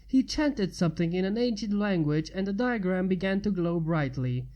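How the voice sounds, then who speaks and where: A woman's voice: on the low side, expressive, moderate pace, warm tone. A woman narrating fan fiction as a story reader.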